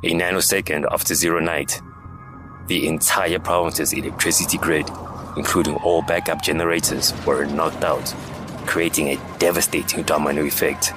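A man's voice: very deep voice, steady tone, moderate pace. A man giving scripted, dramatic narration for a science fiction audio drama. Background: music.

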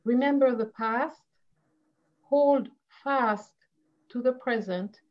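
This woman speaks with a French accent in a fairly high voice, halting over fillers and restarting her sentences. She is a history professor on an online panel. Her sound comes through a dull, lo-fi video call. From France.

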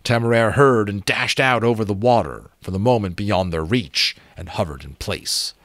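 Low-voiced man reading dramatically. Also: moderate pace, dry recording. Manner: expressive, emphatic storytelling. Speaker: audiobook reader and channel host. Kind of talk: dramatic reading of an adventure novel. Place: small room at home with bookshelves. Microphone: close desk microphone.

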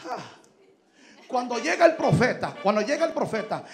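High-voiced shouting preacher with a gravelly edge. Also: male voice, sing-song, normal speed. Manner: shouting, emphatic, switching between Spanish and English. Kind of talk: Pentecostal sermon. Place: large church stage indoors. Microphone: handheld microphone.

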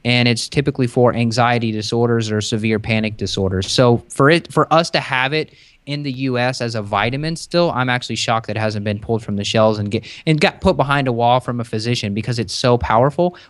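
A man's voice: mid-range voice, brisk, no echo. Young man chatting into a close mic. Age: young adult. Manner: relaxed, chatty, explains in long rambling runs. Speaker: podcast guest. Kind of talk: casual podcast chat. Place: home kitchen, over a video call. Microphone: close desk mic.

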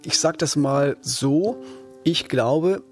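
A man hosting a crime podcast as a reporter, explaining a case conversationally with measured emphasis. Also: a little high. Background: background music.

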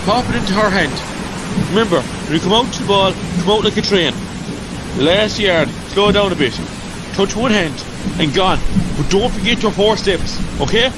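A man playing a brisk, bossy Irish hurling coach in an amateur radio drama, giving clipped instructions. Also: high-pitched, lively intonation. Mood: brisk, instructing. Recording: very noisy outdoor-like ambience.

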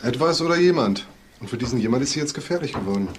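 A man's voice: medium pitch, animated, very fast, a little hiss or hum, natural voice. An actor playing a shrewd, commanding male detective chief in a German crime radio drama, probing and dryly sardonic. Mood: brisk, dry.

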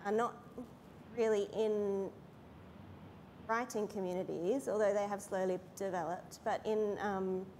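A woman, an editor reflecting aloud on a panel with many pauses and fillers. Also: mid-range voice, fairly even intonation, darkish tone, a little hiss or hum. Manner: hesitant, filler-heavy, reflective. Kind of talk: panel discussion. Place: large hall, seated on stage.

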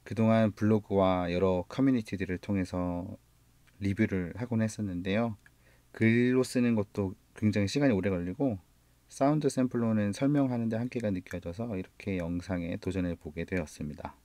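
A man speaks with a Gyeongsang accent in a fairly low voice, recorded dry and close with little room sound. He talks through a product review in a relaxed, explanatory way, now and then breaking off a word to correct himself. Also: no echo. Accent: Gyeongsang Korean. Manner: relaxed, explanatory, slightly halting with self-corrections. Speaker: guitar gear reviewer. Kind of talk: casual product review vlog.

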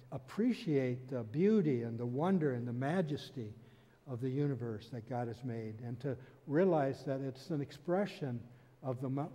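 A man's voice: medium pitch, dark tone. An older man preaching a sermon from a church pulpit, telling a story and explaining scripture in an earnest manner. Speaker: pastor. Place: church sanctuary stage, indoors. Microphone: stand mic at pulpit. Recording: long hall reverb.

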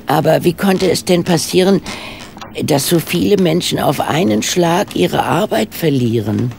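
An older lady detective in a radio drama, polite and shrewd. Her voice swoops widely and crackles with fry, over clearly noisy ambience. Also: sits low, lively intonation, measured pace, darkish tone, full character voice. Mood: polite, curious. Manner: polite, probing, wry. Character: inquisitive older female amateur sleuth, polite and shrewd. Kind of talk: German radio play acting.

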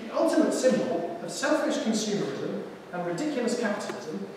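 A man's voice: high-pitched, big pitch swings, fairly quick, a little hiss or hum. A man voicing a smug talking fridge from the future. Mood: explanatory. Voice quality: rich accent. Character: chatty time-travelling talking fridge computer. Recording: echoey hall from far off.

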